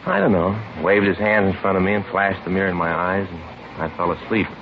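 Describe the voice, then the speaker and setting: A man's voice: low voice, fairly quick. An American man acting as a tired, put-upon police detective, chatty and aggrieved, in a 1950s radio drama. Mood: exasperated. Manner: weary, chatty, aggrieved storytelling. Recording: muffled, hissy vintage radio recording.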